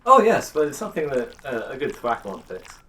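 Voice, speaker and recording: A man working as game master, narrating a tabletop game with playful, drawn-out descriptions. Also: medium pitch, wildly swooping pitch, pushed and bright. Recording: distant mic with faint noise.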